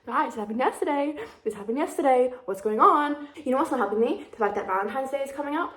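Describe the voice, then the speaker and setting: A woman's voice: sits high, lively intonation, fast talker, a bit bright. A woman of about twenty talking casually and confessionally as a vlogger, with a dramatic, rambling, self-deprecating manner. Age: about 19, nearly 20. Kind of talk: casual confessional chat to camera.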